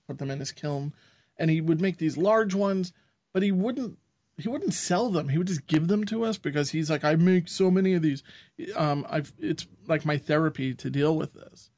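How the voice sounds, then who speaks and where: Higher-voiced opinionated male podcaster. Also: darkish tone, no echo, studio quality. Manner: frank, opinionated, rambling. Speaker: podcast co-host. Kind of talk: casual chat.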